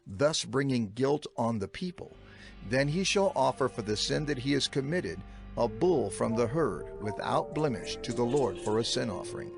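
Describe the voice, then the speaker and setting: A man narrating scripture for a dramatized audio production in a measured, solemn, declamatory manner. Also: medium pitch, animated, vocal fry. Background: music.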